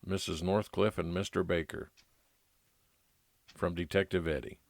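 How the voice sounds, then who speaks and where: Noir radio lead: wry, weary male detective, played by a man, low voice, dry close sound. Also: fairly even intonation, measured pace, vocal fry, no room sound. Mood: reflective, wry. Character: world-weary, wry male private investigator narrating his case. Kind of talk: old-time radio noir narration and dialogue.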